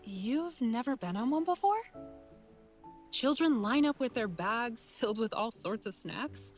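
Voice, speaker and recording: A young female monster hunter in a video game. She is practical and spirited, questioning things with mild exasperation. Her voice sits fairly high, over faint music. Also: lively intonation, a little slow. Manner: earnest, questioning, mildly exasperated.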